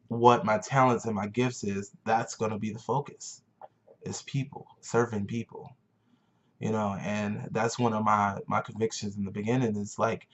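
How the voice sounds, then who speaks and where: Earnest young man, lo-fi recording. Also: fairly low pitch. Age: 20s. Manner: earnest, searching, repeats phrases for emphasis. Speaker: vlogger. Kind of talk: motivational talk to camera.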